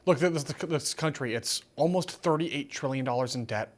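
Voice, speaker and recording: A man's voice: medium pitch, moderate pace. Opinionated American male news host. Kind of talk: political TV interview.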